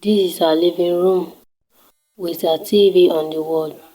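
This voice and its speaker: A teenage girl from Nigeria, speaking with a Nigerian accent and a slightly low voice. She narrates her home and daily routine at a careful, steady pace, laying things out one by one. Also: measured pace, rough recording. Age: teens. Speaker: student showing her home.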